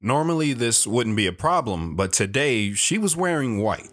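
A man's voice: mid-range voice, big pitch swings, moderate pace, fairly bright tone. A man playing a bashful, wistful wanderer recounting his youth in an audio drama. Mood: reflective. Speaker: storyteller. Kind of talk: first-person audio drama narration.

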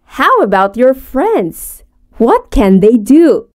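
A woman speaks as a classroom teacher in a high voice. She asks her questions slowly and clearly, in the manner of recorded course material. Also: very animated, darkish tone. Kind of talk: scripted textbook dialogue.

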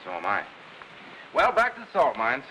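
A man's voice: medium pitch, huge pitch swings, normal speed, sharp tone, slight background noise, rough recording. A man in his thirties acting a good-natured, wry navy doctor in a 1950s Hollywood film. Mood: wry, friendly.

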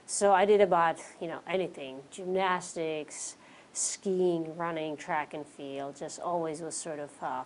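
A woman in her forties from the Czech Republic with a Czech accent, relaxed as an interview guest, sprinkling in fillers. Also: sits low, a little slow, a little hiss or hum, poor audio. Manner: relaxed, many fillers like "you know" and "um". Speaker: interview guest, pro cyclist. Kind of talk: casual interview answers. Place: small studio with curtains, indoors.

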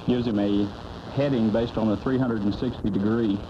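An American man with a fairly low voice gives procedural, matter-of-fact explanations as a radio guest. It comes from a dull old cassette with clear noise. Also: fairly even intonation, moderate pace. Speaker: guest, air traffic controller. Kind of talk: explanatory interview talk. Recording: muffled 1980s AM radio cassette, loud noise.

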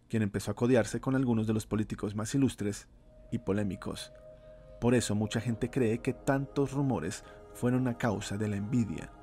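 A man reading a scripted horror story as narrator, slow and ominous, dropping his voice low on the grim lines. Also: a little mellow.

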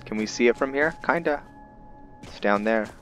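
A man's voice: medium pitch, normal speed, a bit bright, faint music behind. A man giving casual video game commentary with some roleplay, rambling as he thinks aloud. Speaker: let's play host.

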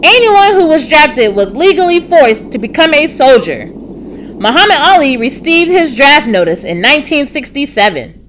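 Woman, high voice, very varied intonation, children's read-aloud narration, clearly enunciated. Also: big pitch swings, normal speed, a bit bright, slight background noise, low-quality recording. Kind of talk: scripted children's storybook narration.

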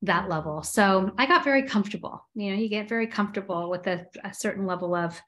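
A woman's voice: mid-range voice, fast, fairly bright tone. Casting: woman, forties, from Los Angeles, talkative interview guest on a video call, easy laugh. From Los Angeles, USA. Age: 40s. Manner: chatty, frequent "um" fillers, laughs easily. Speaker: guest entrepreneur. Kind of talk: casual interview chat. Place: home room with window blinds.

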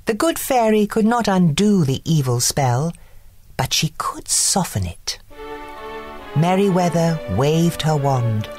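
A woman narrating a scripted bedtime story in an expressive, deliberate way. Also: low-pitched, soft background music.

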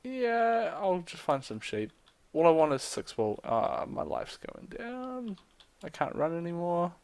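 A young man chatting casually while playing a game with a friend, reacting quickly. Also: high-pitched, very animated, a little mellow. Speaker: gamer. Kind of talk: casual let's play chat.

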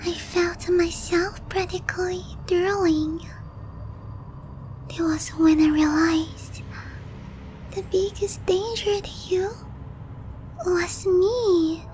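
A woman playing an obsessive young vampire princess in a slow, cooing, breathy half-whisper for an ASMR roleplay, sweetly menacing and possessive. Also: extremely high pitch, fairly even intonation, darkish tone, a little hiss or hum. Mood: lovestruck, wistful. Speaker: roleplay voice actress.

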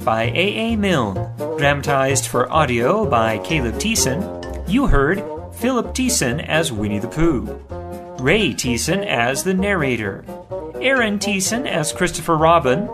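A woman's voice: sits low, sing-song, unhurried. A woman reading scripted credits as an announcer. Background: guitar music.

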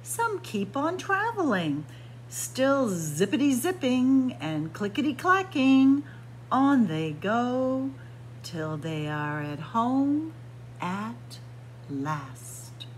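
Female picture-book reader for small kids: very unhurried, swooping sing-song delivery, stressed key words, noisy indoor recording. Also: fairly high pitch, sing-song. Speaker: children's librarian and storyteller. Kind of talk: picture book read-aloud for young children. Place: library room with bookshelves, indoors.

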